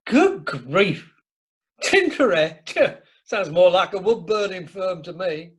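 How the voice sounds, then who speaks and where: A man's voice: high voice, sing-song, slow pace, husky, full character voice. A man playing a jovial, bluff older husband with a Northern English accent in an amateur radio comedy. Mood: blustering, nostalgic. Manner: chuckling, blustering, colloquial. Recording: online meeting recording.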